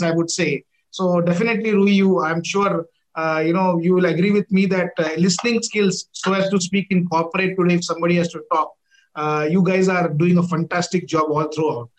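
A middle-aged man with an Indian accent and a high-pitched voice hosts an interview. He speaks conversationally, wordy and effusive as he frames his questions. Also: rough recording. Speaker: interview host, former air force officer.

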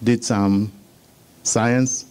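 A middle-aged man from Israel with an Israeli accent, giving a business presentation on patents in measured, slightly halting English. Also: mid-range voice, fairly even intonation, darkish tone, smooth.

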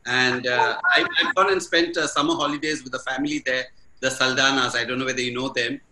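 A man's voice: mid-range voice, steady tone, a little fast, bright, forceful tone, roomy sound, rough recording. A man hosting an interview, friendly and curious, laughing along and phrasing things loosely. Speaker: interview host. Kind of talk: casual interview chat.

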